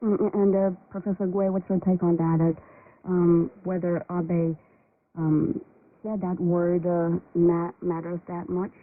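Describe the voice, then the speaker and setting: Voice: mid-range voice, level tone, slow, phone-quality sound, slight background noise. A radio host putting questions to guests in a hesitant way, over a shortwave broadcast. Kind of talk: interviewing, asking questions.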